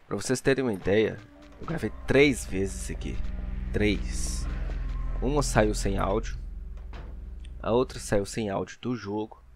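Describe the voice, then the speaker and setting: A man's voice: fairly low pitch, creaky. A young man with a Brazilian Portuguese accent, giving casual let's play commentary on a headset mic at home. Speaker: gaming YouTuber.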